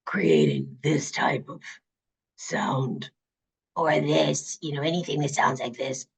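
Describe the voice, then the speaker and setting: A woman voicing a male audiobook villain with a raspy, strained voice. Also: deep voice, slow pace, heavily acted. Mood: menacing.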